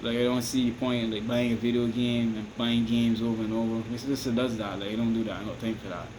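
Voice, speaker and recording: A man with a Barbadian accent talks loosely and at a middling pitch, circling back on himself and checking in with the listener. A steady hiss and air-conditioner hum sit under his voice. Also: fast talker. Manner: rambling, repeats "you understand me?", restarts often. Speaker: vlogger giving advice. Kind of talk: casual advice monologue to camera.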